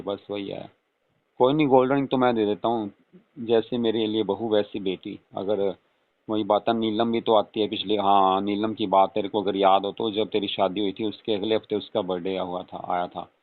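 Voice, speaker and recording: A man's voice: fairly low pitch, fairly even intonation, normal speed, no echo, lightly acted. A man playing a flirtatious, coaxing father-in-law in a casual, intimate phone-call role-play, murmuring and adding questioning hums. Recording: tinny phone-line call recording.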